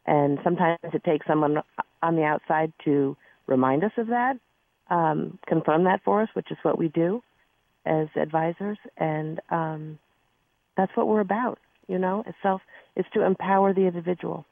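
A hoarse woman calling into a radio show as a spiritual advisor, speaking earnestly and groping for words. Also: lowish voice, moderate pace, no echo. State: hoarse, lost her voice. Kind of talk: phone-in radio conversation. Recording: thin phone line, dry.

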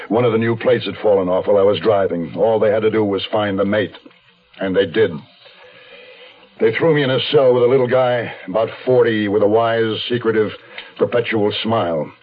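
A man's voice: lowish voice, lightly acted. An actor playing a wary man on the run, telling his story tersely in a 1970s American radio suspense drama. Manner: terse, guarded. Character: terse, wary male fugitive narrating his own story. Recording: dull 1970s radio recording.

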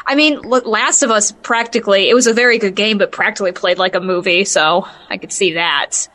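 A woman's voice: mid-range voice, a little fast, fairly bright tone. A woman co-hosting a podcast in casual chat, often laughing as she talks. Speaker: podcast co-host. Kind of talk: casual chat about games and films.

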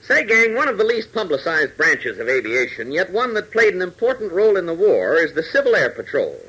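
An American man announcing and narrating a 1940s radio serial in an emphatic, dramatic, husky voice. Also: high voice, sing-song, brisk, bright, forceful tone, slight background noise, poor audio.

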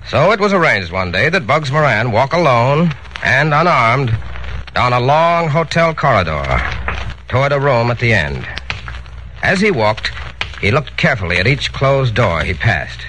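Bright, animated vintage radio narrator man. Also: medium pitch, slight background noise, low-quality recording. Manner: dramatic, declamatory. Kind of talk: 1940s radio scripted narration.

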